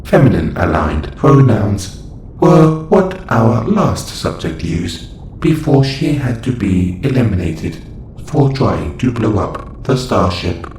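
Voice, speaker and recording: A man's voice: fairly low pitch, very animated, slow, a little mellow. A man playing a formal, clinical caretaker robot in a sci-fi audio roleplay, speaking in stilted, precise phrases. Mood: clinical, instructive. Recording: roomy echo, faint background noise.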